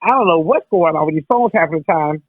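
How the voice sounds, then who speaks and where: A woman's voice: low-pitched, lively intonation, a little fast. A woman hosting a radio interview, chatty and full of "you know". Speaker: radio host. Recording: tinny phone line.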